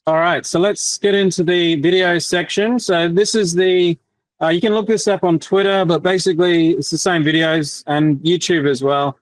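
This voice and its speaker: A man's voice: high-pitched, steady tone, normal speed, no echo, low-quality recording. A man presenting a developer workshop on a video call, explaining casually with many fillers and restarts.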